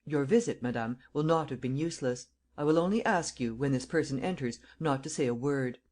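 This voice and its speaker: A reader voicing a calm, commanding police chief who questions in a measured, authoritative way. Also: medium pitch, natural voice. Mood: firm.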